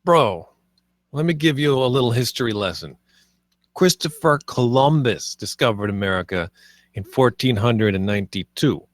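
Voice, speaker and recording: Cocky, laid-back dude acted by a man, mid-pitched, dry close sound, comedy screenplay read. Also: animated, moderate pace, no room sound, natural voice. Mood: self-assured, casual. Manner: casual, slangy, self-assured. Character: cocky, laid-back slacker bro pool cleaner.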